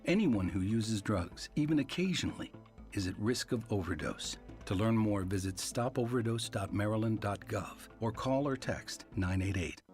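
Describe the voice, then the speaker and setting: A man's voice: fairly low pitch, lively intonation, normal speed, a little mellow. A man reading a serious scripted public service announcement for radio. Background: music bed.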